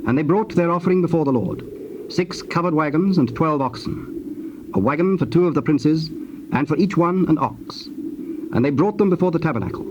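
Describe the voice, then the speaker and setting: A man narrating scripture from a script in a measured, formal, solemn manner. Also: fairly high pitch, animated. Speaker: narrator of dramatized Bible. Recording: dull, noisy old cassette tape.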